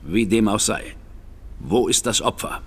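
A man's voice: on the low side, animated, unhurried, fairly bright tone, a little hiss or hum. An actor playing a shrewd, composed male detective in a German audio drama, formal, courteous and inquisitive.

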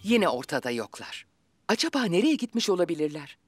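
A woman voicing a commanding, scheming older witch in a children's cartoon, imperious and deliberate. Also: lowish voice, big pitch swings, breathy edge, soft background music. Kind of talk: animated cartoon dubbing.

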